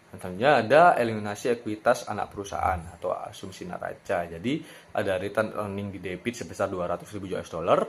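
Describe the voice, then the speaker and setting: A young man giving an explanatory class presentation as a university student, in a slightly halting way with self-corrections. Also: deep voice, gravelly. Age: young adult.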